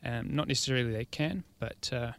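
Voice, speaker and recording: A Kiwi man talks casually as a radio guest. His voice sits at a middle pitch, recorded close and dry with no room around it. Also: a little fast, fairly bright tone, no echo. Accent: New Zealand. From New Zealand. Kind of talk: casual chat.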